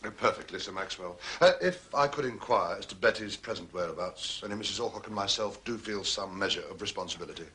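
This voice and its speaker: An actor playing a deferential, unflappable butler in a period mystery drama, formal and carefully hesitant. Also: male voice, lowish voice, fairly bright tone, slight background noise, lightly acted. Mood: smoothly explanatory. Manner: formal, obsequious, measured hesitations. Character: smooth, deferential male butler, unflappable and evasive.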